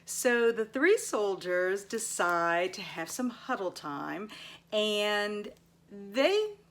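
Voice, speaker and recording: A woman's voice: medium pitch, big pitch swings, very slow, fairly bright tone. A woman giving a casual book review, recapping stories as a host and stressing words with emphasis. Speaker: teacher.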